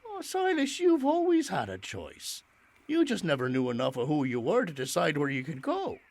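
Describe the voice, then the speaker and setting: An actor playing a playful, fatherly ship captain in a Regency audio drama, with expressive, old-fashioned phrasing. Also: fairly high pitch, normal speed, creaky, no room sound, full character voice. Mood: proud, fatherly.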